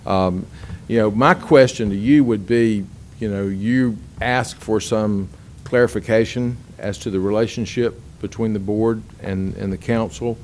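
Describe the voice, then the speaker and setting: A man with a lowish voice chairs a county board meeting, stumbling through restarts and fillers as he speaks. A faint hum of room noise sits behind him. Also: fairly even intonation, a little mellow. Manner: halting, many restarts and fillers.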